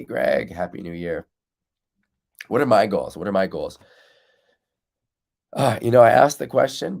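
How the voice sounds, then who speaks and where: A man's voice: sits low, creaky, a little mellow. A man hosting a live windsurf coaching stream, chatting casually and answering viewers' comments while still congested from losing his voice. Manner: reads out viewer comments and answers them directly.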